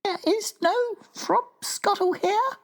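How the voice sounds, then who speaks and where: Brief: earnest little girl voiced by a woman, very high, slow, firm. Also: exaggerated voice. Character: sensible little girl, firm, level-headed. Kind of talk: storybook reading.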